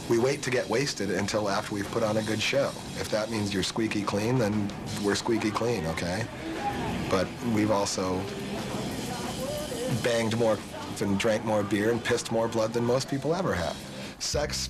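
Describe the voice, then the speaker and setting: A man's voice: fairly low pitch, steady tone, moderate pace. A man in his twenties, a band singer, talking frankly and bluntly in a confessional interview. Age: 20s. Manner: frank, blunt, laughs through stories. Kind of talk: reality show confessional interview. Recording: music bed under the voice.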